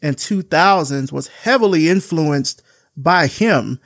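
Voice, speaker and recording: A man hosting a podcast, talking in a loose, filler-heavy way and getting animated in his stories. His voice sits a bit high, and the sound is dry with no room around it. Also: slow pace, no echo. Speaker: podcast host. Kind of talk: casual interview chat.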